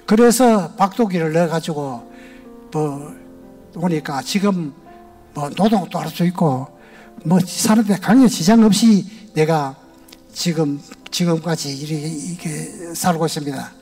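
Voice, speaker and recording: Older Gyeongsang man, high mellow voice. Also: a little slow, faint music behind. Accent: Gyeongsang Korean. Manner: folksy, homespun storytelling. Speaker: retired church elder. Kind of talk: personal testimony to a congregation. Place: large church sanctuary.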